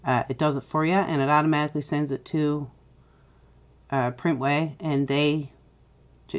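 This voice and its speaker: A middle-aged woman with a Newfoundland accent, chatty and step-by-step as she hosts a software tutorial. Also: deep voice, highs cut off. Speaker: tutorial host. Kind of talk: screen-recorded software tutorial.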